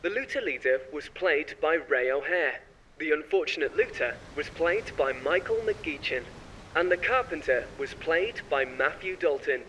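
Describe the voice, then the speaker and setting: A man's voice: a little high, sharp tone, a little hiss or hum, rough recording. A man reading scripted credits as a podcast announcer.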